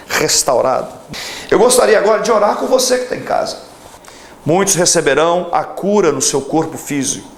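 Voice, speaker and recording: A man in his forties preaches on television in a fervent, emphatic way and leads a prayer for the viewers at home. His voice sits a little high for a man. He stretches out key words for weight. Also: vocal fry. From Brazil. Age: 40s. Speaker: pastor.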